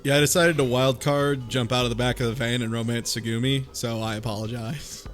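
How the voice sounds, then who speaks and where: A man's voice: medium pitch, level tone, a little fast, soft background music. A man bantering casually on a panel, joking with mock defiance. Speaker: podcast panelist.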